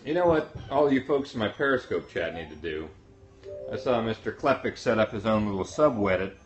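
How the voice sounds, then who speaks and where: A man's voice: lowish voice, animated, brisk. A man streaming from home, casually trash-talking a rival in a blunt, mocking way. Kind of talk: casual trash-talk over a livestream. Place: indoors at home. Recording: roomy, dull sound with faint music.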